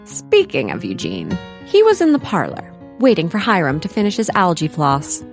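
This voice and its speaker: Woman narrator for a comedy audio drama, lowish voice, very up and down, faint music under. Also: huge pitch swings, moderate pace.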